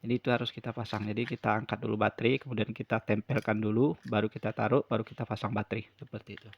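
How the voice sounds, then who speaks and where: A man's voice: fairly low pitch, little pitch movement, racing, gravelly, muffled recording. A man gives an unscripted hands-on repair tutorial, explaining step by step and often repeating himself. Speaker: phone repair tutorial presenter.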